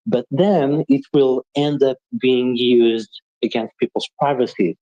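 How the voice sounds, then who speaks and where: A man's voice: mid-range voice, measured pace, mellow, dull audio. A man from Portugal giving his opinions as a panel guest in an emphatic, assertive way.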